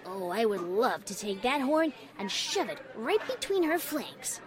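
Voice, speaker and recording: A woman's voice: a little high, lively intonation, a little fast, some chatter behind, natural voice. A woman voicing a brash, protective tomboy pegasus mare from a cartoon, with a blunt and feisty manner. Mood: angry.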